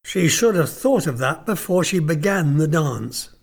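Guy doing a creaky old noble, high and slow, sneery, swings up and down a lot. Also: expressive, darkish tone, full character voice. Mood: sly. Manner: leering, drawling, sudden harsh bursts. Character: sly mocking old nobleman, uncle, lame.

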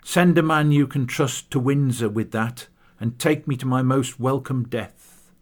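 A man's voice: medium pitch, unhurried, natural voice. Dignified fallen captain, as a male narrator puts him on, courteous and grave, a different timbre from the narration. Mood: solemn. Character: grand, gentle disgraced male army captain.

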